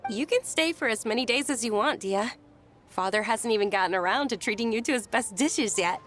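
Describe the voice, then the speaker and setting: A woman's voice: fairly high pitch, expressive, a little fast, fairly bright tone, soft background music. A woman playing a sweet, insistent young noblewoman in an anime game, speaking politely and earnestly, with a pleading edge. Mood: gracious.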